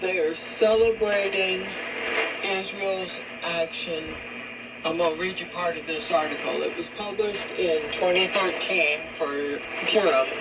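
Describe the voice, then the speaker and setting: A woman's voice: on the low side, fairly even intonation, measured pace. A woman giving religious talk radio commentary, heard through a shortwave radio. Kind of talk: talk radio commentary with scripture and article reading. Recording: dull, very noisy shortwave radio broadcast.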